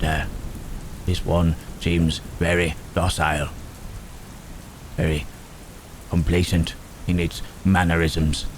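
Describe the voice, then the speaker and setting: A man voicing Frankenstein's monster, a gentle, brooding, long-lived creature, in halting, musing phrases for an ASMR roleplay drama. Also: low voice, noisy background. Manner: halting, deliberate phrasing with musing questioning murmurs. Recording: steady rain and thunder sound effects. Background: rain and thunderstorm ambience.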